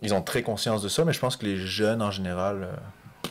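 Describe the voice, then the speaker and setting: Relaxed young man, low voice. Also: normal speed, a little hiss or hum. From France. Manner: relaxed, thinks aloud with many fillers. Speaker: podcast guest. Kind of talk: casual chat.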